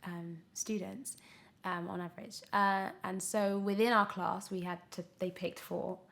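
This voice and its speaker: A young woman chatting casually as an interview guest, telling her story in an animated, expressive way. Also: mid-range voice, a little slow, creaky. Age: young adult. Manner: animated storytelling, acts out her own past thoughts. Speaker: podcast guest, radio drama actor.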